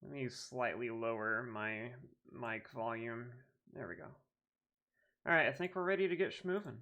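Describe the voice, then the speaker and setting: A man's voice: mid-range voice, normal speed, pushed and bright, muffled recording. A man chatting casually as a live streamer, with a playful, affectionate, slangy manner. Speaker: live streamer and hobby voice actor. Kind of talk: casual livestream chat. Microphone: streaming microphone. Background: game music.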